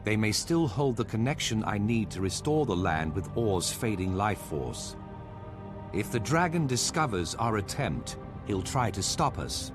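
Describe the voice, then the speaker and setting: An actor playing a solemn, scholarly plant-folk marshal in a video game cutscene, speaking in an earnest, formal, measured way. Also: male voice, lowish voice, very animated. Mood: resolute, formal. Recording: voice over loud game music.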